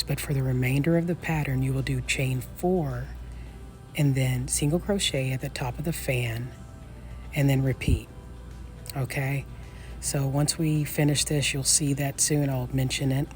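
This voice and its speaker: A woman's voice: very deep voice, fairly even intonation, moderate pace, a little mellow, faint music behind. Woman with a fading voice teaching crochet. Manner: patient, repeats steps and counts stitches aloud. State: losing her voice. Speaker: crochet instructor. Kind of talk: step-by-step tutorial.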